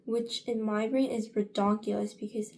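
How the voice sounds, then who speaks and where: A woman's voice: medium pitch, flat delivery, moderate pace, warm tone. A young woman in her late teens chatting casually in a get-ready-with-me vlog, rambling with many fillers and restarts. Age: young adult. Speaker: vlogger.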